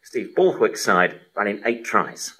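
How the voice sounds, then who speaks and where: A man's voice: fairly low pitch, sing-song, a little slow, sharp tone, husky, poor audio. A man presenting live sports news as a radio host, heard over a shortwave radio broadcast.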